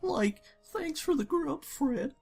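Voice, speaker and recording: A man voicing a goofy, hungry young cartoon slacker sidekick in a high, cracking, drawling voice. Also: expressive, takes it slowly, vocal fry, darkish tone, soft background music, exaggerated voice. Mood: grateful. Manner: drawling, slangy.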